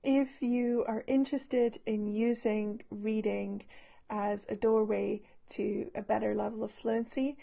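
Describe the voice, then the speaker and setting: A hoarse woman teaching English, patiently explaining vocabulary from a short story with frequent pauses and restarts. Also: fairly high pitch, level tone, dark tone.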